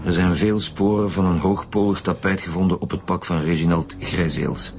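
An actor playing a gruff, commanding male chief homicide detective in a radio drama. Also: low voice, fairly even intonation, moderate pace, a little mellow, slight background noise. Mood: businesslike. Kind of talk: naturalistic radio drama acting.

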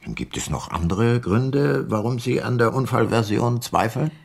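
An actor playing a reflective, weary private detective in a radio drama, measured and laconic. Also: male voice, medium pitch, expressive, creaky, slightly rough, dry recording, clean studio sound, exaggerated voice. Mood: calm, probing. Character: weary, reflective male private detective narrating his case. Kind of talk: scripted narration in a radio drama.

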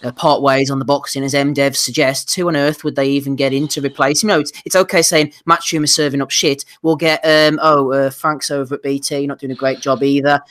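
A man's voice: mid-range voice, level tone, a little fast, few pauses, fairly bright tone, no room sound. A man hosting a casual podcast, steering the talk between topics with teasing asides. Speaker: podcast host. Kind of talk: casual podcast chat about boxing.

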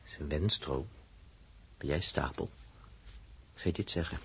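Casting: blunt space crewman, low and very rough-husky, agitated, emphatic, vintage radio play. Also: a little slow, a bit bright, full character voice. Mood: agitated, scolding. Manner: emphatic, stammering repeats. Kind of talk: 1960s radio drama acting.

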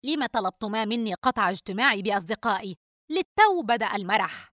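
A woman voicing an irritable, cold young man, terse and gruff, in a dramatised audio story. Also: on the low side, a little fast, bright, forceful tone, dry recording, clean studio sound. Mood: angry.

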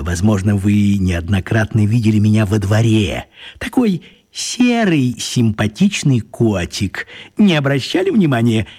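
A puny, shifty old wizard in a radio play. His husky voice leaps wildly up and down at an easy, slowish pace as he chatters with fussy, flowery politeness and evasive little giggles. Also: lowish voice, a little mellow, heavily acted. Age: older.

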